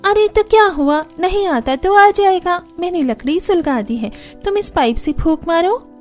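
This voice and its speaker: A woman plays a young village sister-in-law with a strikingly high voice. She is practical and matter-of-fact in a Hindi cartoon drama. Soft music runs behind her. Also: expressive, moderate pace. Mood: encouraging. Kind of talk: Hindi cartoon story dialogue.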